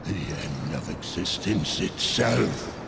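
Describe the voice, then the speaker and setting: An actor playing a sly demon merchant in a game cutscene, speaking slowly and ominously, with loud music behind. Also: male voice, low voice, lively intonation, heavy background noise, heavily acted. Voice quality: gravelly. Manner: slow, ominous and knowing. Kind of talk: video game cutscene acting.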